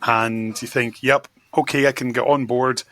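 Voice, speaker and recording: A man's voice: mid-range voice, fairly even intonation, moderate pace, fairly bright tone, a little hiss or hum. A man speaking as a podcast guest, giving his opinions in casual conversation. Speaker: podcast guest, magazine editor.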